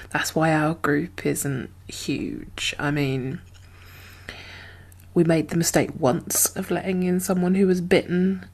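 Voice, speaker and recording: A woman speaking softly and often whispering in an ASMR roleplay, gentle and halting, as a guarded but caring apocalypse survivor. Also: low-pitched, steady tone. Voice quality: soft, breathy, often whispered. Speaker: ASMR performer playing a role. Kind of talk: soft-spoken ASMR roleplay.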